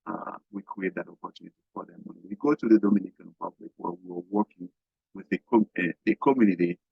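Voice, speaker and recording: A middle-aged man from Haiti, a guest business coach talking haltingly in an interview, with many fillers and restarts. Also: deep voice, gravelly, husky, dry recording. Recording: dull, dry lo-fi sound.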